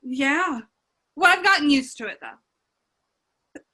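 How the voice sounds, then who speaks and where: A woman's voice: high-pitched, lively intonation, slow pace, pushed and bright, full character voice. A woman playing a lonely, questioning young fairy-tale captive in an irreverent radio play, speaking in a modern conversational way. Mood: curious, exasperated.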